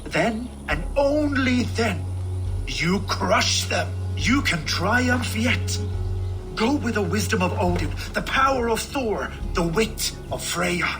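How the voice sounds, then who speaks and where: An actor playing a hot-tempered seasoned Viking warlord in a video game, commanding and emphatic. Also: male voice, high voice, lively intonation, slow, over music. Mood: rousing. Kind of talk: dramatic video game acting. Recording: noisy game ambience behind.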